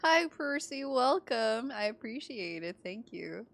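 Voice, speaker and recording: A woman's voice: on the high side, very animated, measured pace, creaky, a little hiss or hum. A woman from the Philippines giving casual live commentary as a game streamer, chatty and reactive.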